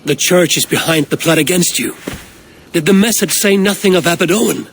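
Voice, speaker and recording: An actor playing a steadfast, blunt Viking warrior leader in a video game. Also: male voice, high-pitched, fairly quick, a little hiss or hum. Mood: urgent warning. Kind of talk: cinematic video game acting.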